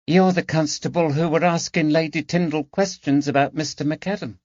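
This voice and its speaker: Man doing a shy young housemaid in an audiobook, high lifted voice, dull dry sound. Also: steady tone, moderate pace, a little mellow, dry recording, natural voice. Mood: anxious. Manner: hesitant, plain-spoken working-class speech. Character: timid nineteen-year-old dismissed lady's maid.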